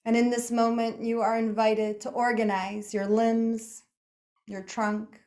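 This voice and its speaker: A woman leading a guided meditation in a soothing, unhurried manner, speaking in short phrases with pauses between them. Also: on the high side, little pitch movement, a little mellow. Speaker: yoga nidra meditation guide.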